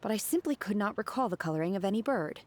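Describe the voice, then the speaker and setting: An actress playing a stoic, literal-minded young woman with a deadpan, measured delivery in a video game cutscene. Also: mid-range voice, close to an everyday voice. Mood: calm, candid.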